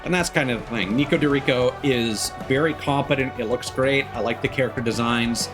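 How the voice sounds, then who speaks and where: A man's voice: mid-range voice, brisk. A man doing casual gameplay commentary as a livestreamer, rambling and easily sidetracked, with casual swearing. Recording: game music playing behind voice. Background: video game music.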